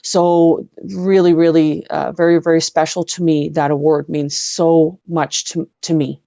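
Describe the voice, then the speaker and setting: Low-voiced Canadian woman, earnest and halting. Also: flat delivery, vocal fry, mellow, no room sound, dull audio. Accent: western Canadian. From Edmonton, Canada. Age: middle-aged. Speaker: interview guest. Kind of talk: personal storytelling in a live online interview.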